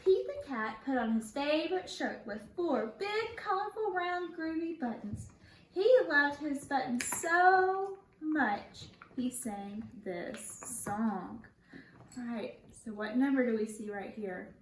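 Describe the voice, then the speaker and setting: A woman schoolteacher reading a picture book to young children in an animated, sing-song way, pausing to put questions to them. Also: sits high. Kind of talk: read-aloud picture book lesson for children. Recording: echoey room, faint background noise.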